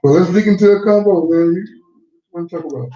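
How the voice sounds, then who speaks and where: A man's voice: high-pitched, a little fast, mellow, slight background noise. A man co-hosting a livestream, talking casually and helpfully. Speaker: livestream co-host. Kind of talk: casual chat. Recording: roomy, distant, lo-fi call audio.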